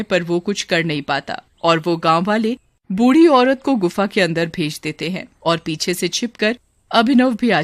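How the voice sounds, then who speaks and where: A male storyteller reads scripted narration. His voice is high for a man, and the recording is dry with hardly any room sound. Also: no echo.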